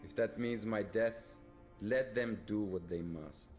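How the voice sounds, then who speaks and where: A man's voice: lowish voice, unhurried, darkish tone, faint music behind. A man acting as a principled, defiant Soviet lieutenant in a video game cutscene, earnest and solemn. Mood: solemn, resigned. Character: principled, defiant male Soviet lieutenant, a disillusioned war writer.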